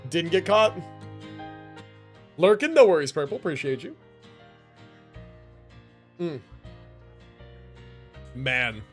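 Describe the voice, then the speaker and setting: Casting: young male game streamer, loose slangy chat, very varied intonation, soft game music behind. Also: fairly high pitch, very animated, slow, pauses often. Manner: laid-back, slangy. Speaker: game livestreamer. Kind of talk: casual livestream commentary.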